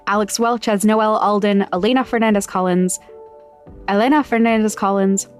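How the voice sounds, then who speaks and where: A woman's voice: mid-range voice, fairly even intonation, normal speed, soft background music. A woman reading scripted podcast credits and promos as an announcer.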